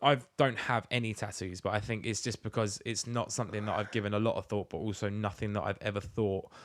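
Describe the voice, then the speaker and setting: A man's voice: fairly low pitch, steady tone, fast, no room sound, clean studio sound. A British man chatting casually as a podcast co-host, in a blokey, rambling, sweary way. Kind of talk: casual chat.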